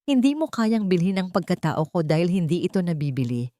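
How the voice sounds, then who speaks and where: A woman's voice: lowish voice, sing-song, brisk, dark tone, dry recording. A woman with a Filipino accent voicing a distressed, wronged working woman with wounded dignity in an audiobook. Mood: firm defiance. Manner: pleading, earnest. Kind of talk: audiobook character acting.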